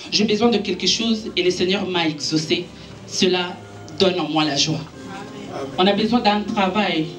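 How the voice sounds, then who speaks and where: Woman preacher, Italian first language, earnest exhorting delivery, recorded from a distance with faint music under her. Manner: earnest, exhorting, rising in pitch and force when preaching. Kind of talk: sermon. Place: church gathering in a makeshift space.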